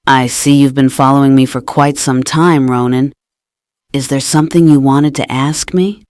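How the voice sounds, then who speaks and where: A woman's voice: rumbling low, fairly even intonation, moderate pace, a little mellow, smooth, no room sound, studio quality. A woman voicing a cool, menacing, condescending female ninja assassin in fan-made game dialogue. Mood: taunting, cold.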